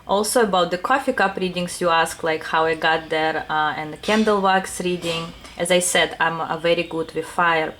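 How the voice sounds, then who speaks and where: A woman with a Russian accent, a tarot reader answering her viewers' questions in a soft, unhurried casual talk full of small fillers. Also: fairly low pitch, a little hiss or hum.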